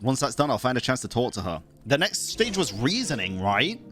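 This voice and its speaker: Male game streamer, mid-pitched voice, casual and sweary, faint game music behind him. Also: very animated, fairly quick, a bit bright. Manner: informal, sweary. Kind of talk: casual livestream commentary and reading game dialogue.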